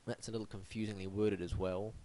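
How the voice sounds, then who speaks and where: A man's voice: lowish voice, level tone, quick pace, dark tone. A man hosting a web design review, blocked up and sniffly from hay fever, with a wry, mock-formal, theatrical delivery. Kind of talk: scripted web review monologue.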